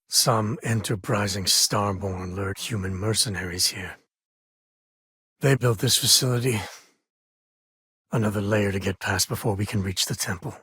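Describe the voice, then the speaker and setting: A very raspy, dry-recorded middle-aged man of the space frontier. He is a devoted father and easygoing explorer who talks in a folksy, wry way, naturally and without fuss. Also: lowish voice, a bit bright, no echo. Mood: grave, reflective. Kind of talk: video game voice acting, naturalistic dialogue.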